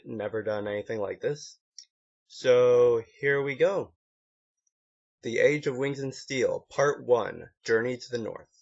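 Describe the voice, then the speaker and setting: Lo-fi amateur male story reader. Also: medium pitch. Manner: stumbles over words and corrects himself. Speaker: amateur fan fiction reader. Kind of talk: one-take story narration. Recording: dull lo-fi home recording.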